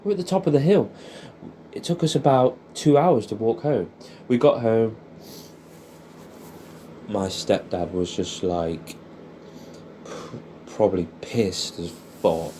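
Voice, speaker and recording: Mellow-voiced English man reminiscing casually. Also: on the low side, takes it slowly, pauses often, slight background noise. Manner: rambling, nostalgic, swears freely, tags questions onto statements. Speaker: audio creator. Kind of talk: casual intimate reminiscing addressed to an old friend.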